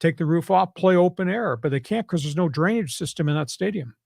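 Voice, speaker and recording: An older American man talks sports with strong opinions and folksy turns of phrase. His voice sits a little high with a gravelly creak, and the recording is dry and close. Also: expressive, a little fast, darkish tone, no echo. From New York, United States. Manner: emphatic, folksy colloquial turns. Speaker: sports talk show host and analyst.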